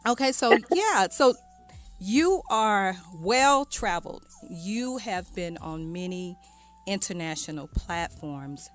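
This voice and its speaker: A woman's voice: mid-range voice, animated, slow pace. A woman hosting a casual radio interview, putting questions to a guest in an affirming, conversational way. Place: radio studio. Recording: music playing behind. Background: music.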